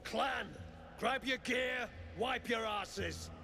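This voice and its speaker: Gravelly, foul-mouthed dwarf boss. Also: male voice, very high voice, drawn-out words, bright, forceful tone, a little hiss or hum, full character voice. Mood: gruff. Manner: coarse, swearing, bullish.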